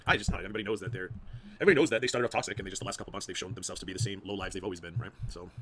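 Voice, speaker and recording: Snarky, very fast-talking man. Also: deep voice, a bit bright, a little hiss or hum. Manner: snarky and sarcastic. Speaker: livestream guest. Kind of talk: casual livestream chat.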